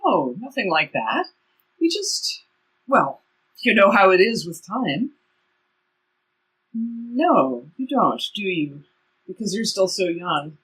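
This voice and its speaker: A woman's voice: mid-range voice, sing-song, slow pace, long pauses, fairly bright tone, breathy edge, heavily acted, rough recording. A woman playing a very old, polite and wistful woman in a stage play reading.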